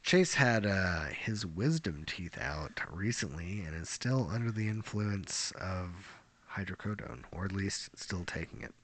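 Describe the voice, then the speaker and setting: Male podcast host, very low voice, easygoing kidding banter. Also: a little slow. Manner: joking, relaxed, with chuckles. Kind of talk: casual chat.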